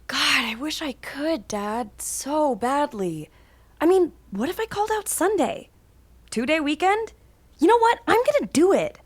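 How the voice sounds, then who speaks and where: Grown daughter played by a woman, earnest and anxious, higher-pitched, casual naturalistic drama dialogue. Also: big pitch swings, measured pace. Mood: eager, defiant.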